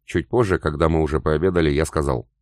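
A man reading a novel aloud in the first person, with even, unhurried storytelling. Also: rumbling low, dry recording, clean studio sound. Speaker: audiobook narrator. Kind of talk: first-person scripted narration.

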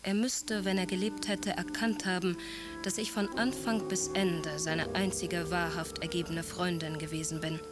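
A woman's voice: fairly low pitch, level tone, normal speed, close to an everyday voice. An actress playing a proud, embittered older woman in a radio drama, composed and formal as she looks back. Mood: composed, bitter. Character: Annabella, proud, embittered aging wife looking back. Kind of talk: German radio drama monologue. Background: prominent instrumental music.

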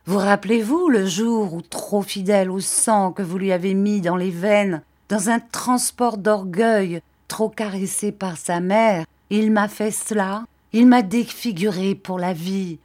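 A female narrator plays a scarred, embittered woman consumed by vengeful passion in a classic novel. She accuses and commands imperiously, hammering out her reproaches at a slow, deliberate pace. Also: medium pitch, darkish tone, close to an everyday voice. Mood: bitter accusation. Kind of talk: dramatic audiobook acting of a classic novel.

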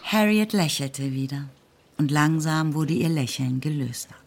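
An actress narrating a classic novel for radio drama, composed and lightly ironic. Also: female voice, low-pitched, lively intonation, unhurried, full character voice. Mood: composed, wry. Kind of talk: scripted literary narration.

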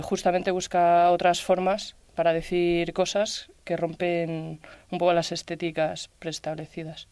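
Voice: mid-range voice, darkish tone, clean tone, dry recording. A guest on a radio round table, thinking aloud in a reflective, hesitant way with many fillers. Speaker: discussion guest. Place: radio studio.

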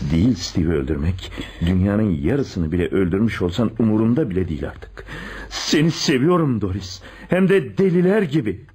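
Devoted policeman, radio theater, raspy lowish male voice, highly animated intonation, earnest, torn, emphatic. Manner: dramatic, emphatic, halting when questioning. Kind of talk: radio theater acting in Turkish drama.

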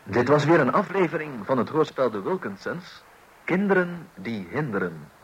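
A man's voice: mid-range voice, very animated, moderate pace, poor audio. A man working as a radio announcer, reading scripted closing credits for a Dutch radio play. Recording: faintly hissy old radio recording.

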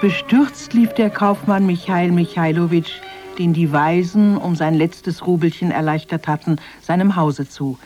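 A woman narrator tells a children's fairy tale in a radio drama. Her voice is low for a woman, and faint music sits behind it. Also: measured pace. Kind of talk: scripted children's storytelling narration.

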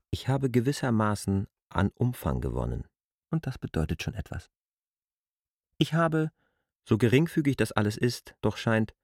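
An actor playing a proud, defensive land surveyor in a German literary radio drama, arguing deliberately in formal phrasing. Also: male voice, low voice, husky, no echo, clean studio sound, exaggerated voice.

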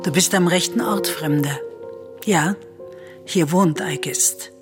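An actress playing a sober chorus woman in a Greek tragedy for radio, speaking in a grave, formal, deliberate way. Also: on the low side, over music. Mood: grave, counselling. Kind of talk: solemn classical radio drama acting.

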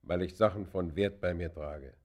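An actor playing a proud, defiant traveller under arrest in a German radio play, answering in a terse, haughty, guarded and formal manner. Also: male voice, deep voice, moderate pace.

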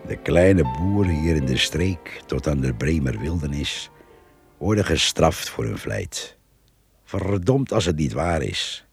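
An actor playing a blunt, folksy old countryman in a radio play, with a gravelly, husky voice and halting phrases. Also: rumbling low, expressive, soft background music, full character voice. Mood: reflective, plainspoken. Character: gruff old countryman, poacher, loyal drinking companion.

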